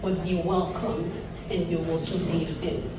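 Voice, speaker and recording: A middle-aged woman from Haiti with a Haitian accent, speaking English as a second language, giving an earnest, deliberate forum speech. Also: lowish voice, flat delivery. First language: not English. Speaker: panelist, activist. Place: large echoey indoor event room. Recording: very echoey room, distant, noisy.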